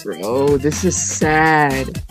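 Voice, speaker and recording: A raspy young man who just woke up, hosting a casual gaming video and pleading with the game for better luck. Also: on the high side, very slow, over music. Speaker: gaming channel host.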